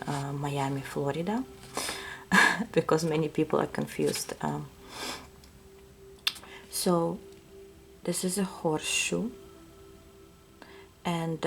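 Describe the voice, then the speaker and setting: Russian-accented woman, low gravelly voice, soft hesitant tarot-reading delivery. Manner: soft-spoken, hesitant, often drops to a whisper. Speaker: tarot reader. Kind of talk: intuitive reading to viewers.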